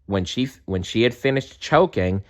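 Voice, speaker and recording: A man reading a children's story aloud in an expressive narrating style. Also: lowish voice, moderate pace. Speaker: storybook reader.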